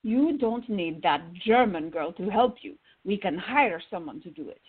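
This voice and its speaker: Actress as husky, exasperated older woman friend. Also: medium pitch, lively intonation, moderate pace, narrow, tinny audio. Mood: urging, indignant. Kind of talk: radio theater acting.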